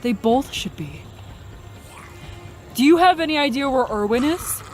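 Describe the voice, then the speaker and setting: A woman playing an excitable, desperate survivor in a zombie apocalypse fan audio drama, emotional and exclamatory. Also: high-pitched, unhurried, music in the background. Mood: anxious, urgent.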